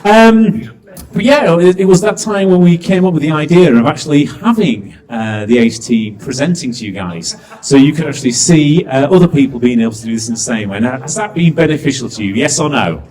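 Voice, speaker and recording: A man's voice: on the high side, lively intonation, brisk, a little mellow, rough recording. A middle-aged man with a Yorkshire accent compering a live event, chatty and jokey, asking the crowd questions. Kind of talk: live stage compering to an audience. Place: event stage before a live audience.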